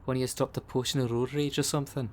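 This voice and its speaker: A man's voice: medium pitch, little pitch movement, quick pace, natural voice. A man playing a brash, sarcastic dullahan detective in an audio roleplay, with slangy, teasing talk. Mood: mocking.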